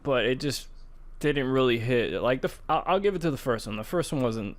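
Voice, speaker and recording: A man's voice: medium pitch, lively intonation, fairly quick. A man hosting a podcast, chatting casually and giving slangy, emphatic opinions. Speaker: podcast host. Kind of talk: casual chat about movies and games.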